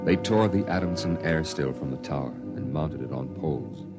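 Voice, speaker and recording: A man playing a somber storyteller recounting his boyhood on Mars, in measured, dramatic first-person narration for a vintage radio drama. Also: very deep voice. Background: dramatic music.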